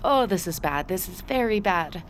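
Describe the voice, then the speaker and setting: An actress playing an anxious, wounded adult daughter in an audio drama. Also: female voice, on the low side, animated, normal speed, slight background noise, natural voice. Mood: panicked.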